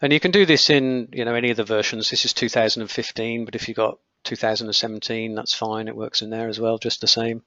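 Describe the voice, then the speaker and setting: A man with a croaky voice, teaching a live webinar on software testing, explaining as he clicks through screens with frequent okays and ums. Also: mid-range voice, steady tone, quick pace, few pauses, no echo, highs cut off.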